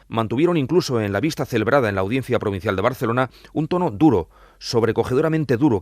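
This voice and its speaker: A man's voice: fairly low pitch, no room sound, clean studio sound. A man presenting a true-crime podcast with scripted documentary narration.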